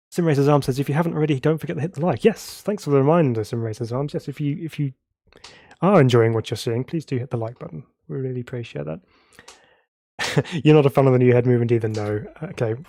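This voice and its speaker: A man's voice: medium pitch, a little mellow, a little hiss or hum. Congested man streaming sim racing. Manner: chatty and self-laughing, reads viewer chat aloud. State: congested from a cold. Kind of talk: live gameplay commentary.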